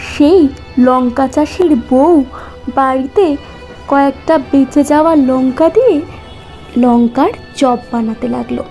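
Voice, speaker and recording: A woman narrating a children's cartoon story in measured, short phrases. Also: high voice, warm tone. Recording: music behind the voice.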